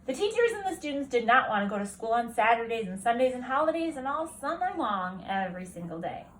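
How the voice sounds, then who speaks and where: A woman's voice: on the high side, very animated, a little fast, a bit bright, a little hiss or hum, low-quality recording. A woman narrating a children's storybook aloud expressively, like a teacher reading to children at home. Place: at a kitchen table at home.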